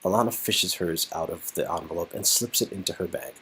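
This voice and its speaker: A man's voice: sits low, fairly quick, bright, forceful tone, slight background noise, poor audio. A man reading an interactive story game aloud as narrator, with casual joking asides.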